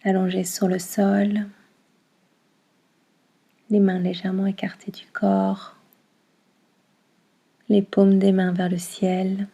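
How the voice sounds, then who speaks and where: A woman teaching yoga nidra, guiding a meditation in a calm, soothing manner with long pauses between short instructions. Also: medium pitch, creaky, husky. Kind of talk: guided meditation, soft instruction.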